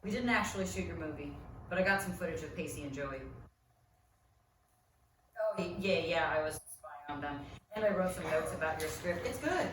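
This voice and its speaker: A woman's voice: low voice, lively intonation, moderate pace, long pauses. A woman reading the part of a wounded, immature male film-buff dreamer in a comedy table read. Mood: subdued, earnest. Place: live reading in a performance room. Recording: echoey, noisy room, distant mic.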